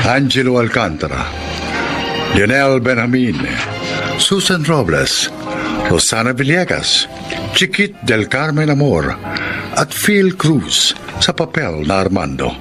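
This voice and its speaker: A man's voice: medium pitch, wildly swooping pitch, slow, pauses often. A man with a Filipino accent reading scripted radio drama narration and credits in a formal, solemn way. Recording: music bed under the voice. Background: background music.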